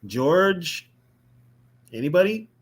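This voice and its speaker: A man's voice: high voice, big pitch swings, slow pace. A man co-hosting a casual live stream, chatty, laughing easily and talking to the viewers in the chat. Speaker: co-host of a fan podcast.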